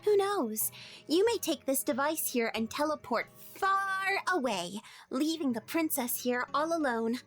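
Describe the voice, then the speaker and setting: A woman voicing a bossy, unfazed little-girl scientist in an audio drama, teasing and brisk. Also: high voice, animated, fairly bright tone, faint music behind. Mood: skeptical, probing. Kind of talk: fan audio drama acting.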